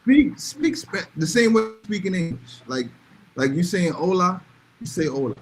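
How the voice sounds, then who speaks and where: A man's voice: high-pitched, sing-song, normal speed, darkish tone, a little hiss or hum, poor audio. A man talking casually and bluntly, giving emphatic opinions and repeating phrases to drive points home. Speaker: podcast panelist.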